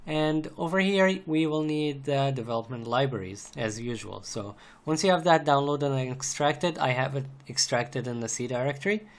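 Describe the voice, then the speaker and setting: A man narrating an unscripted, step-by-step screencast tutorial in a methodical way, repeating phrases as he goes. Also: medium pitch. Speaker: programming tutorial instructor. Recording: noisy home recording, typing and clicks. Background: keyboard typing, mouse clicks, background noise.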